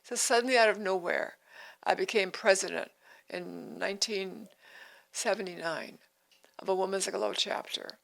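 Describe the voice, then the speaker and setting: A woman's voice: mid-range voice, steady tone, moderate pace, creaky, a bit bright, rough recording. A woman in her eighties, hosting a devotional podcast in an earnest, repetitive way with frequent restarts. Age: 80s. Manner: earnest, repeats phrases, restarts sentences. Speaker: podcast host.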